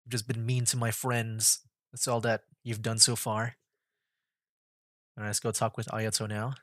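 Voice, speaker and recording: A man's voice: fairly low pitch, completely flat delivery, normal speed, a bit bright, dry recording, clean studio sound. A man giving casual livestream game commentary, musing aloud in an informal way. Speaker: gaming streamer.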